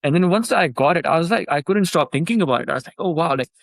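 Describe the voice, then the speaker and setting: A man from Pakistan, a startup founder, talking casually in a remote interview. He speaks loosely with lots of fillers and gets animated when he is excited. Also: fairly high pitch, fast, creaky, no room sound.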